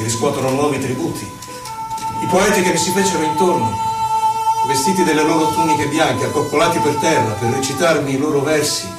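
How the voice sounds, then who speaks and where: An actor playing an impassioned, solemn poet who recalls his life in theatrical, declamatory verse with measured phrasing. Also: a little high, close to an everyday voice. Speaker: actor in a poetic theatre piece for radio. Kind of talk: dramatic poetry recital. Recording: roomy echo, distant mic, violin music. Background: violin music.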